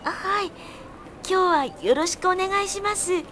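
Radio drama casting: a woman as a shy, very high-voiced student girl, young adult, polite and eager, with noisy ambience behind. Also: unhurried. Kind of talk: Japanese radio drama acting. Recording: clear background noise, outdoor ambience.

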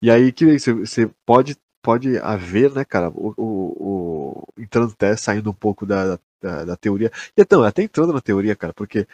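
Husky Brazilian man, casual podcast co-host. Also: on the low side, animated, normal speed, hardly any pauses, a little mellow. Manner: slangy, addresses listener as buddy. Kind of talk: casual chat, theorizing.

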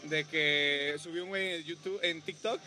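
A man with a Mexican accent, raised in Veracruz, hosts a relaxed comedy podcast interview. His voice sits a bit high with a very bright tone, and he keeps the chat going with short, easygoing replies. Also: normal speed. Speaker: podcast host, stand-up comedian.

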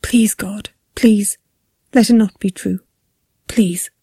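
A woman's voice: mid-range voice, fairly even intonation, slow. A woman voicing a terrified young mother in an audiobook, gasping and pleading frantically for her child. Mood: desperate pleading. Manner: gasping, pleading, bursting out in panic. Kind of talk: audiobook character acting.

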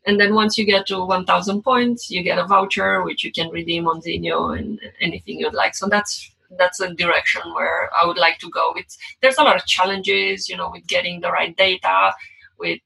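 A woman's voice: fairly low pitch, level tone, moderate pace, gravelly, sharp tone, husky, poor audio. A woman from Romania with a Romanian accent, a guest speaking casually in an interview, hesitant with many fillers and restarts.

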